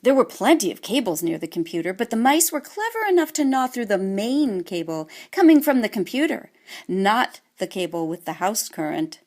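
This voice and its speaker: A woman narrating a children's audiobook in an expressive, scripted storytelling manner. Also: mid-range voice, normal speed.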